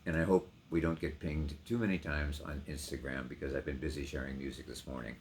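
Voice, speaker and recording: A man's voice: extremely low pitch, steady tone, fairly quick, slight background noise. A middle-aged man giving a casual personal introduction to a reading, with emotive, theatrical emphasis. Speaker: poetry reader and trained actor.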